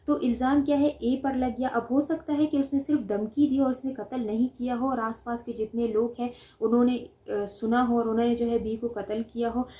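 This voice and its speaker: A woman's voice: fairly high pitch, warm tone. A woman lecturing in an explanatory way, posing questions and answering them herself, repeating key phrases. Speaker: law lecturer. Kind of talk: explanatory lecture with simple examples.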